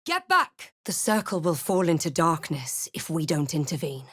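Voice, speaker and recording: A woman voicing a wary, composed adventurer heroine for a fantasy video game, with measured, dramatic delivery. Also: low voice, very animated, fairly bright tone, slightly rough, no room sound, clean studio sound, full character voice. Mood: urgent, forceful, then grave, composed.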